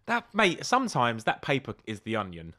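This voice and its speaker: A man's voice: mid-range voice, wildly swooping pitch, normal speed, pushed and bright. A man with a British accent in casual comedic chat as a podcast co-host, blunt, sweary and often incredulous.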